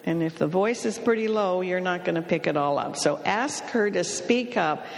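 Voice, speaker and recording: A woman counselor giving an advice talk to an audience, speaking in a dry, wry and matter-of-fact way and gently teasing the men. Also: on the low side, normal speed, creaky. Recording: long reverb of a hall.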